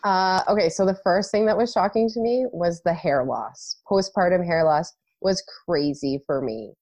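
A woman's voice: on the low side, moderate pace, a little mellow, no room sound. Nasal, stuffed-up woman co-host. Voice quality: a little nasal. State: stuffed up from a cold. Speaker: podcast co-host. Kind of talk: casual podcast chat.

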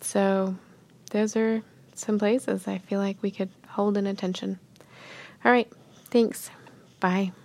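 A woman leaving an informal, reflective message as a caller, hesitant and pausing to think. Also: mid-range voice, flat delivery, a little mellow. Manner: hesitant, thoughtful pauses. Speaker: voicemail caller.

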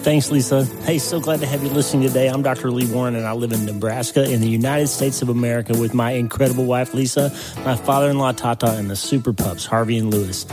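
A man's voice: medium pitch, steady tone, fast talker. Man reading an upbeat show intro. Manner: upbeat, direct address to listener. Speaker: podcast host. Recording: voice over clear background music.

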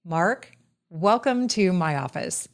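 Brief: low-pitched female host, deliberate and emphatic, introduces guests and asks questions. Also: expressive, vocal fry. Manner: measured, emphatic delivery with deliberate pauses. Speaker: podcast host. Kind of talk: scripted intro then interview questions.

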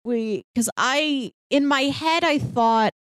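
A woman's voice: high voice, slow, a bit bright, clean tone, dry recording. Chatty woman podcaster, close dry sound. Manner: chatty, laughs often while talking. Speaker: podcast co-host. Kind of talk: casual film discussion.

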